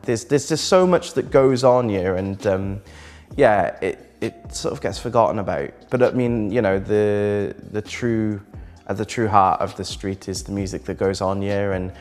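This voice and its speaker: A man with a lowish voice talks enthusiastically about the gigs he has seen, speaking inside a music venue. His voice carries a long reverb, with faint music playing behind. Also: measured pace, darkish tone. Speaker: interviewee. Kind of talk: interview answer.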